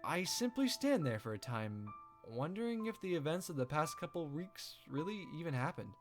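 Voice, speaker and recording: A man reading a visual novel aloud as a let's play host, with expressive storytelling narration. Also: fairly high pitch, normal speed, faint music behind.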